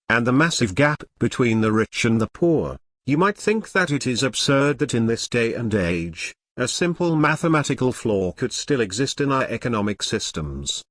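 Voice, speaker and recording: A man's voice: mid-range voice, dry recording, studio quality. A man giving scripted explainer narration in an earnest, formal, teacherly manner.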